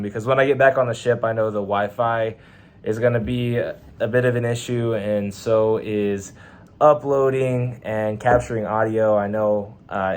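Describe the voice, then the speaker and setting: A young man with a Texan accent, chatting casually to his camera from a hotel room, laid-back and rambling with slang and fillers. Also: fairly low pitch. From Texas, USA. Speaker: vlogger, Navy sailor. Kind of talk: casual vlog monologue.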